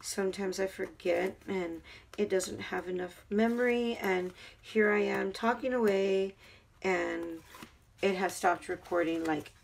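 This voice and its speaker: A woman hosting a craft tutorial, talking casually while she works with her hands, her voice hoarse. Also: medium pitch, little pitch movement, measured pace. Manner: chatty, talks while working with her hands. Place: craft table at home.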